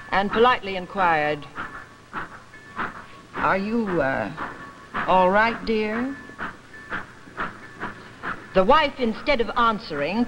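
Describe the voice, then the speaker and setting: A woman giving a deliberate, expressive dramatic reading of a literary story. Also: mid-range voice. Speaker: actress giving a staged reading. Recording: noisy old archival reading recording.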